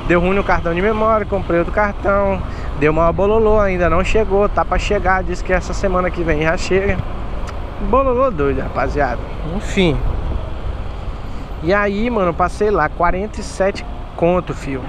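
A man's voice: high voice, moderate pace. A young man, chatting casually and rambling to viewers while riding a motorbike, full of street slang. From Manaus, Brazil. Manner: slangy, rambling, addresses viewers as mano and tropa. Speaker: motovlogger delivery rider. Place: outdoors riding a motorcycle in city traffic. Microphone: helmet-mounted action camera. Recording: loud road and engine noise. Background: traffic and motorcycle engine.

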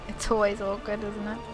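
A woman's voice: medium pitch, darkish tone. A woman doing casual gameplay commentary and reading quest text aloud, chatty, informal and self-deprecating. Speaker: gaming YouTuber. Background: video game ambience.

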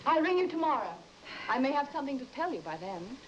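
A woman's voice: high voice, sing-song, fast talker. A woman playing an anxious, suspicious young wife who is imperious with servants, in an old-time radio horror drama. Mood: resolute. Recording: dull old radio recording with hiss.

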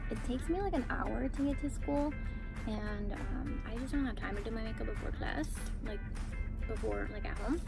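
Young female beauty vlogger, high and playful, phone mic in a car, loud music behind. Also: moderate pace, creaky. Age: young adult. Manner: playful, giggly, teasing. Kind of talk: casual makeup chat. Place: inside a parked car. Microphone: handheld phone camera.

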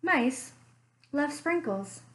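A young woman reading a picture book aloud for children, slow and expressive with playful sound effects, recorded on a webcam in a small room at home. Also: high voice. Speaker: children's librarian. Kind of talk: storytime read-aloud.

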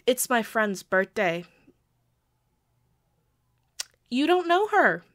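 A woman playing a quirky, sheepish young girlfriend who pleads and defends herself hesitantly in an intimate audio roleplay. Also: mid-range voice. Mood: evasive. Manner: hesitant, pleading, halting with pauses. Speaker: amateur audio roleplay voice actor.

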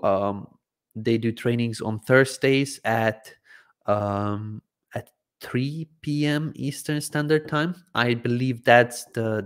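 A man's voice: mid-range voice, clear, resonant tone. A man in his thirties from Croatia, native Hungarian, casually answering viewer questions on a livestream with lots of ums, speaking into a desk microphone. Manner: relaxed, hesitant with frequent ums and "I believe". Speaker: livestream Q&A host. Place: home studio, indoors, seated in gaming chair. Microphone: desk microphone in front of face.

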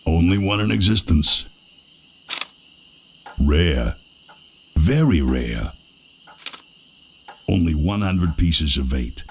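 A man playing a shady, gruff back-alley map peddler, furtive and curt, in a cartoonish video game. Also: deep voice, animated, takes it slowly, pauses often, slight background noise, heavily acted. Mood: sly, curt. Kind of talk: cartoonish game character acting.

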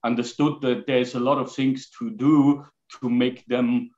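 A man in his forties from Austria, speaking English with an Austrian accent as a guest on a video call, halting with fillers. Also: medium pitch, flat delivery, a little mellow. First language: German. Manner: gestures while talking, halting with fillers. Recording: slightly dull video call audio.

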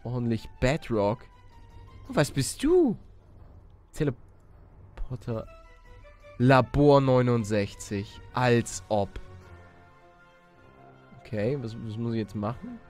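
A man doing excitable let's play commentary, exclamatory and slangy, talking to viewers and himself. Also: mid-range voice, slow, long pauses, soft background music. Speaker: gaming YouTuber and let's player.

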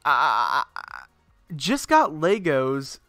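A man's voice: fairly high pitch, slow, dry recording, studio quality, faint music behind. Congested, rambling young streamer guy. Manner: rambling, swears freely, excitable. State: congested, sinusy. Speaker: game streamer. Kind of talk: casual live-stream chat. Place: home garage setup.

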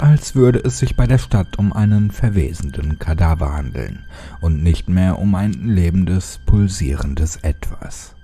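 Very dark, husky low-voiced male horror narrator. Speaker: horror story narrator and channel host. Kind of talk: casual channel address, then dramatic horror audiobook narration.

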